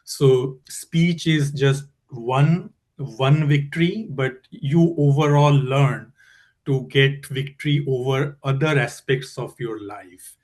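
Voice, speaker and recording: A man leading an online support group meeting, speaking slowly with pauses as he asks his questions. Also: mid-range voice, darkish tone. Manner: slow, with pauses between words. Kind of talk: casual online meeting talk.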